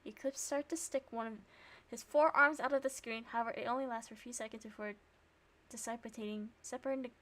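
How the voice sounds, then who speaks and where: A woman streamer reading visual novel narration and dialogue aloud, with sarcastic, expressive reactions. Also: a little high, fast.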